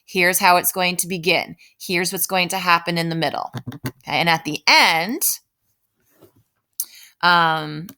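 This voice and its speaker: Woman teacher w a bright clear-cut voice, bit on the low side, explaining a writing task step by step at her computer. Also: a little slow, pauses often. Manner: explanatory, often checks in with "right?". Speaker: elementary school writing teacher. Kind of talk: informal step-by-step lesson, thinking aloud while typing.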